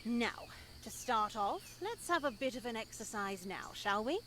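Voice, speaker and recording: An actress playing a stern, pious nun who lectures formally and solemnly, with lingering pauses, in a scripted visual novel. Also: female voice, on the high side, expressive, noisy background. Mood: instructive, reverent.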